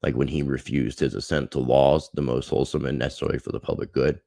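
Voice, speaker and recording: A man's voice: a bass voice, flat delivery, quick pace, creaky, dark tone, no room sound, clean studio sound. A man hosting a livestream, chatting casually and earnestly to his viewers. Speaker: livestream host.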